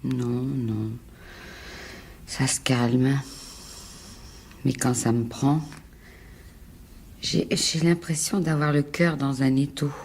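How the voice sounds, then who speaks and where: An actress playing a frightened, fragile and insistent wife in a French radio drama, speaking in halting, pleading repetitions. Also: female voice, very deep voice, steady tone, a little mellow, a little hiss or hum, heavily acted. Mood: shaken, weary. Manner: halting, pleading, repeats words.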